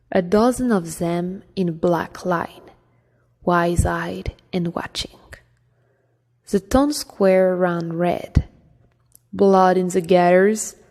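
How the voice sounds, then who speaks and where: Slow French-accented woman reading a novel. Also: on the low side, darkish tone. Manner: slow and careful, with some stumbles. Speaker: amateur audiobook reader. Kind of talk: reading a fantasy novel aloud. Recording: long hall reverb.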